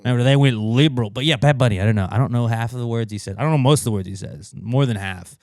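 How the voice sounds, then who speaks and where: Dude cohosting a podcast, smooth clear voice, dry close mic, jokey and sweary. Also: male voice, mid-range voice, fast, a little mellow, no echo. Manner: joking, puts on mock Spanish lines. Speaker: podcast co-host. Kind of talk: casual banter, swearing.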